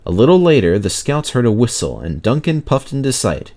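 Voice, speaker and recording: A man reading a children's story aloud in an animated, expressive narrating style. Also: medium pitch, brisk. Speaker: storybook reader.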